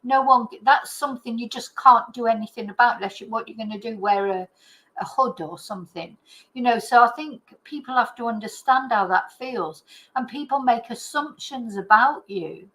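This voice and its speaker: A woman's voice: on the high side, moderate pace, a bit bright, rough recording. A woman with an English accent giving her opinions in a casual, rambling and emphatic chat, as the host of her own show. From Britain. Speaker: true crime chat host.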